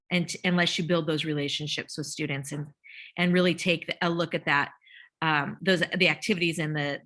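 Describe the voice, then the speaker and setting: Woman, workshop presenter, bright tone, chatty, lots of fillers, computer mic. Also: fairly low pitch. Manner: chatty, with many fillers and restarts. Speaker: teacher-trainer presenting a workshop. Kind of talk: casual conference presentation.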